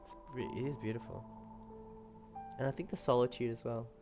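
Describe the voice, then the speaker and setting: A woman's voice: a bass voice, fairly even intonation, a little fast. A woman giving casual commentary as she plays through a video game story quest. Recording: game music behind the voice.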